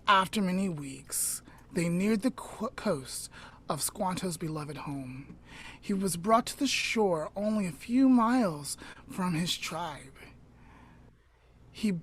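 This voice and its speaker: A woman podcast host telling a story aloud informally, in a measured way with dramatic pauses. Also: on the low side, darkish tone, husky.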